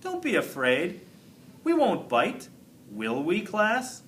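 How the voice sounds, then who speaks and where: Man voicing a bright, lilting, kindly woman schoolteacher. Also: high-pitched, huge pitch swings, slow, far from the mic, a little hiss or hum, pitched above the performer's own voice, heavily acted. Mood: encouraging, friendly. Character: kindly, encouraging woman schoolteacher leading her class.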